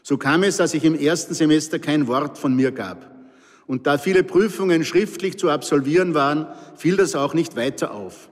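An older man with an Austrian accent reads his memoir in a calm, dry storytelling manner. His voice sits slightly high and is wrapped in a long reverb. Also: moderate pace. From Pinzgau, Austria. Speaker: author reading his memoir. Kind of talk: scripted narration.

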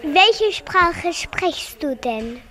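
A woman's voice: very high voice, animated, drawn-out words, sharp tone. A young girl asking other children short repeated questions. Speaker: child interviewer.

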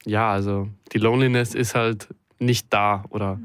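A young man gives casual answers as a talk guest. His voice is very clean and somewhat low, with a flat melody. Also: normal speed, smooth, dry recording, studio quality. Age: young adult. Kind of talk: casual chat.

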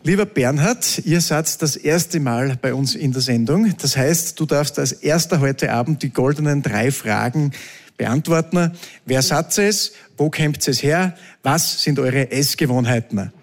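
A man's voice: on the high side, a little slow. A man hosting a folk music show, friendly and a little formal as he introduces guests. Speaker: host.

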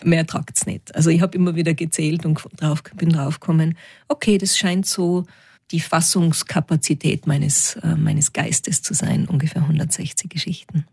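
Husky-voiced Austrian storyteller woman. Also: low voice, fairly even intonation, moderate pace, a little mellow, no room sound, studio quality. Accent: Tyrolean Austrian German. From Tyrol, Austria. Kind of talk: interview.

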